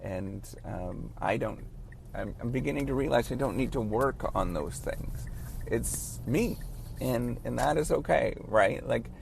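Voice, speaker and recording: A man in his late thirties who stutters, giving halting, earnest encouragement in a casual spoken monologue as a professor. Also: mid-range voice, lively intonation, gravelly, a little hiss or hum. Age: late 30s.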